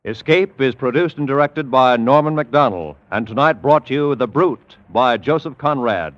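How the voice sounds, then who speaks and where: A man announcing formally and in a measured way, in 1940s radio style. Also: mid-range voice, dry recording. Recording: muffled old radio broadcast, music behind.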